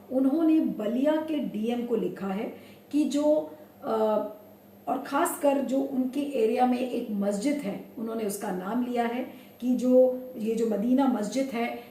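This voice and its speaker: High-pitched woman news commentator in roomy sound. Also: mellow. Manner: mixes in English words. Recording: roomy, distant mic, faint background noise.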